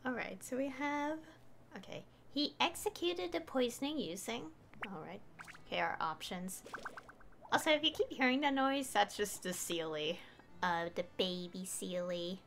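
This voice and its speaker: A woman's voice: a little high, animated, normal speed, gravelly, a bit bright. A woman streaming a game with casual commentary, thinking aloud hesitantly.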